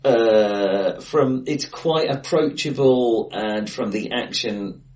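A man co-hosting a casual film discussion, rambling with many ums and quick laughs, recorded in a bright, echoey room. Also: medium pitch. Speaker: podcast co-host. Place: acoustically bright room, face to face. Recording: bright room, voices a bit off-mic.

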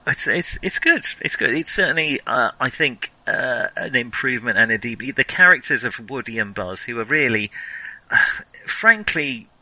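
A man's voice: mid-range voice, animated, moderate pace, sharp tone, dry recording, low-quality recording. A man talking casually and thoughtfully about films as a podcast host, often hedging as he goes. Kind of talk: casual film discussion.

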